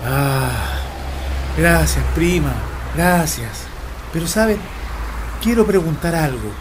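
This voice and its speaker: A woman's voice: deep voice, animated, slow, noisy background. A woman voicing a man in his seventies with memory loss, anxious and disoriented, in a community radio drama. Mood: bewildered. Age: 70s.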